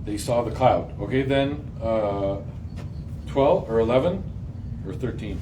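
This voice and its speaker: A man's voice: medium pitch, unhurried. Informal man teaching, slightly distant in noise. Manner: animated, colloquial, acts out short quotes. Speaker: host leading a group Bible reading. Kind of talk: informal Bible teaching with questions to the group. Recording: noisy room, slightly distant mic.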